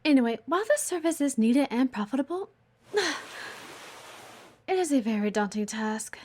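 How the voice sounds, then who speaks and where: A voice actress playing a sharp, demanding female office executive in an audio roleplay, teasing and condescending, with a theatrical, flirty delivery. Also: high voice, lively intonation, unhurried. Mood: wry, businesslike. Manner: teasing, haughty, theatrical, sighing. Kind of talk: intimate audio roleplay acting.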